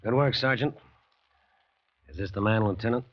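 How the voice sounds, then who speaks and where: Formal, authoritative captain , male actor, lowish and stern, vintage radio play with dulled sound. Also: normal speed, natural voice. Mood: cool, stern. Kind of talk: old-time radio acting. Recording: dull vintage radio recording.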